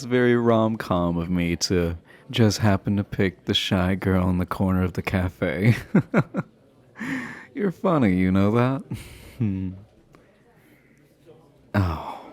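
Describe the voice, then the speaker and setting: Man acting as goofy himbo hockey player, lowish voice, very unhurried pace, playful and teasing, casual audio roleplay. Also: very animated, darkish tone, exaggerated voice. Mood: flirty, bashful.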